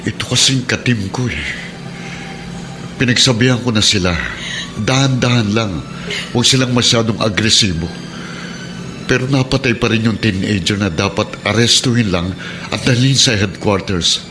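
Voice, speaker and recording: A man's voice: fairly low pitch, normal speed, pauses often, noisy background, lightly acted. A man playing a troubled suspended policeman and steadfast husband in a radio drama, grave and earnest. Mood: earnest, hopeful. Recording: radio drama over prominent music.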